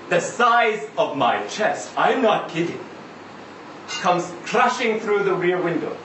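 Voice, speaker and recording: A man reading a short story aloud as a chatty, insistent Israeli taxi driver, halting and conversational, full of rhetorical questions and tags. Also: sits high, a bit bright, exaggerated voice. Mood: tense recounting. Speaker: reader at a live literary event. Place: indoor live reading event. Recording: roomy live venue, distant mic, faint noise.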